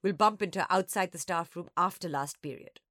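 A woman's voice: fairly low pitch, a little fast, fairly bright tone, no echo, studio quality, close to an everyday voice. A woman voicing a bold schoolgirl who schemes with her best friend, urgent, bossy and conspiratorial, in an audiobook.

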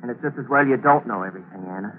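A man's voice: mid-range voice, racing, natural voice. A man playing a cold, scheming plotter. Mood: cold, commanding. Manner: clipped, cold and commanding. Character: cool, scheming male schemer plotting a cover-up. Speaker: narrator and lead character. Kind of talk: 1940s radio drama acting. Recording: tinny old radio broadcast with hiss.

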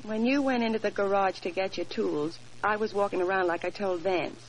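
A woman's voice: medium pitch, fairly quick, natural voice. An actress playing a brash, scheming young woman with a bold, coaxing manner in a 1940s radio drama. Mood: sly, knowing. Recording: noisy vintage 1940s radio recording.

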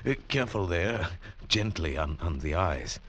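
Adventurer hero, earnest, intense, halting, wide expressive intonation, 1940s radio drama. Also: male voice, low-pitched. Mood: careful, patient. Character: earnest sighted adventurer from Bogota, recounting his ordeal.

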